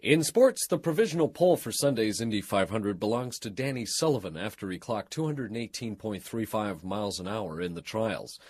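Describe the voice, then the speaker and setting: A low-pitched male announcer reads scripted radio news and sports copy. His voice has a husky grain and a formal, measured broadcast delivery. The sound is dry, with no room around it. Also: animated, darkish tone, dry recording.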